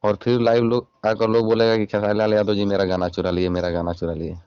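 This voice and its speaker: A man's voice: lowish voice, flat delivery, fast talker, a little mellow, clear, resonant tone, no room sound. A man with a Bihari accent speaking as a channel reporter on a recorded phone call, questioning and admonishing in an insistent way. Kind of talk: confrontational phone interview.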